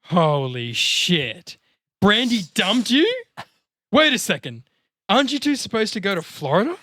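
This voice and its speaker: Male actor as sarcastic comic-book slacker, crude and overblown, high voice with big swings, comedy table read. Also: very animated, a little slow, bright, forceful tone, no room sound, clean studio sound, full character voice. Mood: incredulous. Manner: exaggerated, sarcastic delivery. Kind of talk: overacted comedy script read.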